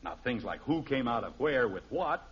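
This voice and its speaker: A man's voice: mid-range voice, sing-song, normal speed, slightly rough. An actor playing an exasperated, blustering middle-aged American father in a 1950s radio sitcom, speaking emphatically. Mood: reproving. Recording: dull, noisy old radio recording.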